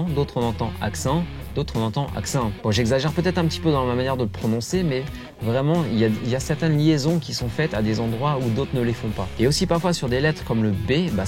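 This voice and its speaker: A quick, chatty man from the Var explains things casually to his viewers. He often mimics how other people say their words. Plucked guitar music plays clearly behind his voice. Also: medium pitch. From Var, southern France. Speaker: video creator.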